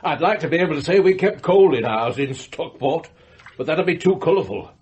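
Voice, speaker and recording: A man's voice: fairly high pitch, lively intonation, a little fast, a little mellow, muffled recording, close to an everyday voice. An actor playing a wealthy middle-aged magnate in a radio play, savouring his words in rhapsodic, declamatory speeches. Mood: bitter, relishing disgust. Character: wealthy theatrical male magnate, morbidly eloquent about his grim past. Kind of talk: radio drama acting.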